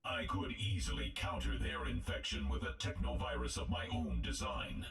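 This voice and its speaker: An actor playing a cold, arrogant killer robot in a video game, speaking formally and precisely with a gravelly voice. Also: male voice, very deep voice, normal speed, fairly bright tone. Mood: coldly menacing.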